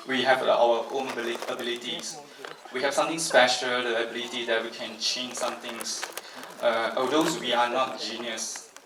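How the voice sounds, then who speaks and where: A man from Malaysia presenting his studio at a launch event, earnest and motivational, halting with many fillers. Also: medium pitch, distant mic, background noise. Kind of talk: stage presentation to an audience. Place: large event hall. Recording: echoey hall, voice from off-mic.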